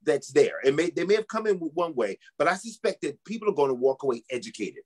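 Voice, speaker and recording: A man gives casual, thoughtful comments as an interview guest. His voice is husky and slightly high. He talks quickly, easing his way into each point. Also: big pitch swings. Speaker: church apostle, interview guest.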